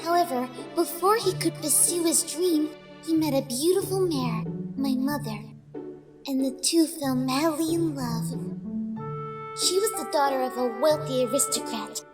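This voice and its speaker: Woman voicing a high, timid young pony filly. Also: lightly acted. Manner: timid, hesitant, gentle. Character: young timid pony filly, devoted daughter narrating her past. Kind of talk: audio drama acting and narration. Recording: music under the voice.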